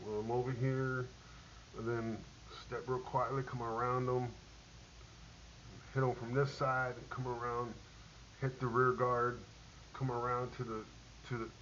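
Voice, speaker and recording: A middle-aged man, a military veteran, telling a rambling personal war story, halting with many ums and restarts. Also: mid-range voice, a bit bright. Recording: dull lo-fi audio with faint hiss.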